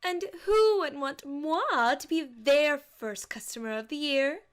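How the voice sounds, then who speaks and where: A woman's voice: high voice, measured pace. A woman voicing a vain, theatrical young unicorn lady in a cartoon fan story, affected and self-satisfied. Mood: smug. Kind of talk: cartoon fan fiction voice acting.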